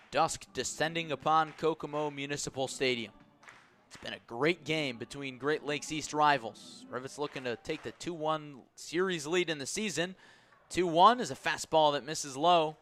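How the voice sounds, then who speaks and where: A man's voice: fairly high pitch, fairly bright tone. A man calling baseball play-by-play for radio in clipped, punchy phrases, close on a broadcast mic. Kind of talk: live sports play-by-play commentary.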